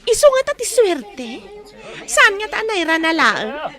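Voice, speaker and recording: An actress playing a cruel, bossy captor with an Ilocano Filipino accent in a radio drama, sharp and commanding. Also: female voice, very high-pitched, very animated, a little slow, heavily acted. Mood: haughty gossip. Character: cruel bossy woman guarding a bound captive maid.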